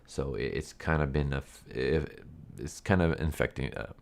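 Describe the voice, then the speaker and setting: A man with an extremely deep voice talks casually to his viewers as an online reviewer. He sounds congested and nasal, as if his nose is blocked by a cold. His delivery is unscripted and rambling, often repeating and rephrasing his points. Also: normal speed, vocal fry. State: sick with a stuffed nose and cough. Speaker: YouTube anime movie reviewer and channel host.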